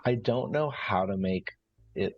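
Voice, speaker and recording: Guy describing his rpg character, lowish gravelly voice, halting, searching for words, slightly muffled stream. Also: no room sound, faint music behind. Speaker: player in a roleplaying game. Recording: slightly dull online stream audio.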